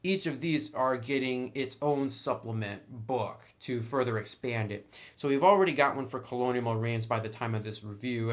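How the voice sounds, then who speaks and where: Guy doing a game review, mid pitched voice, informal and kinda wry, part scripted part off the cuff. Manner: informal, wry sarcastic asides. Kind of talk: semi-scripted review narration with casual asides.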